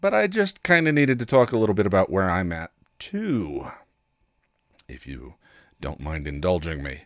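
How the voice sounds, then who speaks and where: A man with a cold talking casually and haltingly, with self-deprecating asides, as a solo podcast host. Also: sits low, big pitch swings, no echo, clean studio sound. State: congested with a cold.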